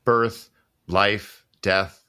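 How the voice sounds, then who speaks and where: A man playing a suave, teasing gentleman protector in a period audio drama, courtly and wry with old-fashioned phrasing. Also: on the low side, fairly even intonation, extremely slow, clean tone, exaggerated voice. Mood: solemn, gentle. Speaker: actor.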